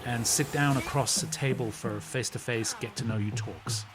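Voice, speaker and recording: A man hosting a podcast, who reads the episode introduction and then asks interview questions in a friendly, measured way. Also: medium pitch, steady tone, music in the background. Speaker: podcast host.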